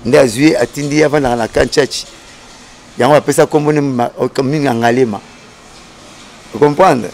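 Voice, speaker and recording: A man's voice: fairly high pitch, gravelly. A man telling long, digressive stories as a studio guest, often repeating words and restarting. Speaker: guest storyteller. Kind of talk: animated storytelling chat.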